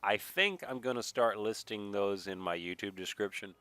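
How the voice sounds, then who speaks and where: Slightly nasal man, bright and low. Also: clean tone. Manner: rambling, unhurried, thinking aloud. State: mild sniffle from a recent cold. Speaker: gaming video creator, player. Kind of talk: casual let's play commentary.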